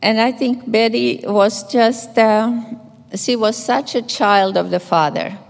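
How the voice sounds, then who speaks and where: An older woman from Finland with a Finnish accent, a nun reading scripture and giving a gentle, measured memorial tribute. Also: a little high, vocal fry. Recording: echoey hall reverb.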